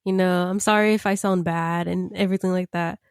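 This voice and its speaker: A young woman co-hosting a podcast, speaking plainly and softly with a stuffy, congested voice from a cold. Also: lowish voice, steady tone, brisk, clean tone, no echo, studio quality. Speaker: podcast co-host. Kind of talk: casual podcast chat.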